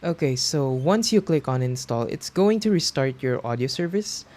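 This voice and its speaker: A man's voice: mid-range voice, animated, moderate pace, darkish tone. A man hosting a tutorial, explaining steps in a casual, instructional way. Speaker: tutorial host. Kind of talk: casual software tutorial narration.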